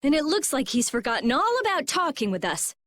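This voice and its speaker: A woman voicing a skeptical, sharp-tongued young woman in an anime video game, dry and pointed. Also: high voice, big pitch swings, a little fast. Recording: background music behind the voice.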